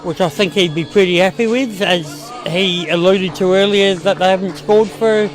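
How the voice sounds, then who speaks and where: A high-pitched man co-hosting bowls commentary. He speaks loudly and jokes about himself. Faint music plays behind him. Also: normal speed, low-quality recording. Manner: loud, jokey, self-deprecating. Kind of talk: casual sports commentary.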